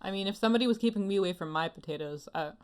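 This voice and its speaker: A woman's voice: medium pitch, very fast, darkish tone. A woman co-hosting a podcast, chatting casually in an enthusiastic, giggly manner. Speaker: podcast co-host. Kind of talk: casual chat.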